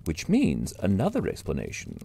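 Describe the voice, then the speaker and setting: An actor playing a calm, probing interrogator who speaks in a slow, measured way. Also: low voice, gravelly, breathy edge, full character voice.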